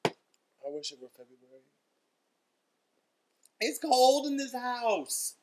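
A man's voice: extremely high pitch, very animated, slow, darkish tone. A man in his twenties talking casually in a weary, dryly sarcastic, grumbling way, as in a rambling video diary at home on a webcam. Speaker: vlogger. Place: room in a house.

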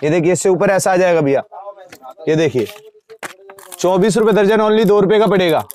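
A young man in a crowded cosmetics shop, rattling off wholesale prices per dozen and per piece in a rapid sales patter. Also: high-pitched, darkish tone. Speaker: wholesale shopkeeper. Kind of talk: sales pitch to camera.

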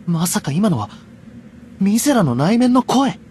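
A man's voice: sits high, very animated, unhurried, a little mellow, a little hiss or hum. A man playing a stern, proud, hot-blooded young knight in a Japanese anime game, declaiming with urgency. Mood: stunned.

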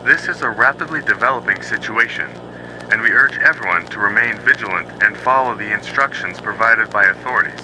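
Man as a formal, lowish emergency announcer. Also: close to an everyday voice. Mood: solemn. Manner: formal, measured. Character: male emergency alert announcer, formal and official. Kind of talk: scripted public emergency announcement. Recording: noisy, aircraft-like drone behind voice.

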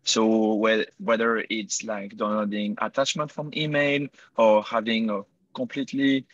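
A man's voice: lowish voice, steady tone, unhurried, muffled recording. A young man from Switzerland with a French accent, answering interview questions over a video call, hesitant with many fillers and self-corrections. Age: 20s. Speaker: guest entrepreneur. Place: indoor room by a window. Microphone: earbuds on a video call.

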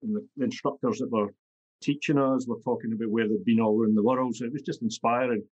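A man's voice: medium pitch, fairly even intonation, fast, darkish tone, husky, dull audio. A Scottish man in his fifties, a podcast guest talking bluntly and matter-of-factly. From Scotland. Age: 50s. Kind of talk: podcast interview.

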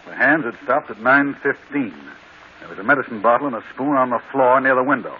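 A man acts a plain-spoken police inspector in a vintage radio drama. He talks fast and bluntly. The sound is narrow, with some background noise. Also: mid-range voice, close to an everyday voice. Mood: matter-of-fact. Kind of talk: old-time radio mystery acting. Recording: noisy, thin old radio broadcast.

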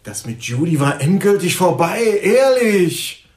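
A man's voice: high-pitched, big pitch swings, measured pace, roomy sound. A man acting in a radio drama as a hot-tempered, defensive bar owner, blustering and indignant. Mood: evasive, cocky.

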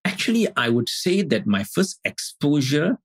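A middle-aged man from Singapore, a teacher speaking as a podcast guest over a video call, thoughtful and halting with many restarts. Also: on the high side, big pitch swings, slightly rough, no echo, studio quality. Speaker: guest, Advaita Vedanta teacher. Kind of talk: casual interview talk. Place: indoor room on video call.

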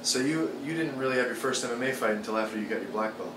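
A man interviewing a fighter, asking casual questions with quick upbeat reactions. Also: mid-range voice. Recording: echoey room with background hum.

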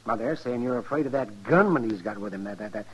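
An actor playing a fussy, grumbling town doctor with a husky voice in a 1950s radio western. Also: male voice, lowish voice, animated, fast, fairly bright tone, low-quality recording.

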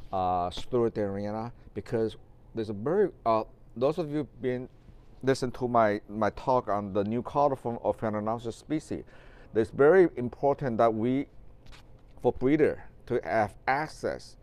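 Taiwanese-accented man, smooth clear voice. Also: on the low side, darkish tone. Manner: rambling, many fillers and restarts, gestures while talking. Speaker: orchid grower and show host. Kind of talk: casual live-stream talk. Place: large greenhouse.

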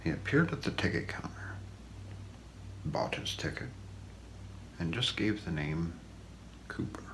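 A middle-aged man reading an ASMR true-crime story in a hushed, deliberate way, often slipping into a near-whisper. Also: low-pitched, very animated, poor audio. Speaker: ASMR role-play narrator. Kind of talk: ASMR true-crime narration read from notes. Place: small room indoors. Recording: faint background noise.

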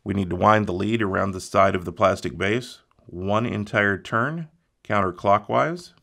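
A man with a somewhat low voice explains a circuit repair one step at a time. His delivery is plain and methodical, like a workshop tutorial. He keeps an even, instructional tone throughout. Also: moderate pace, creaky.

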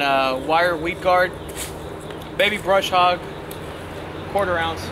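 A young man talking casually to camera while fishing by a river, explaining his baits with plenty of fillers, on a lapel mic. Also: a little high. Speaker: fishing vlogger. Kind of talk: casual outdoor fishing vlog. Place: outdoors on a riverbank. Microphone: clip-on lapel mic. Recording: outdoor noise behind.

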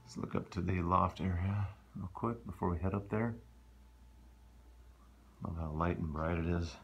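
A man, a real estate agent, giving a relaxed, offhand walkthrough of a condo while filming on a handheld phone. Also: low-pitched, moderate pace, dull audio, slight background noise. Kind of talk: casual property walkthrough. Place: condo interior, walking through rooms.

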